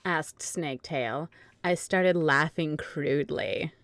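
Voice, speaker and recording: A low-voiced woman hosts and narrates a comic fan fiction reading. She takes her time, recorded very dry and close, and slips into casual asides. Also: slow pace, fairly bright tone, no room sound. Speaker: narrator and host.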